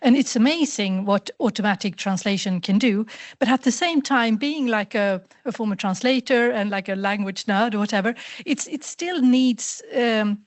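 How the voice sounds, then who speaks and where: A woman's voice: a little high, moderate pace, few pauses. Hesitant woman asking a question. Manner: hesitant, rambling, many fillers. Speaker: audience member asking a question. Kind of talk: spontaneous question from the floor.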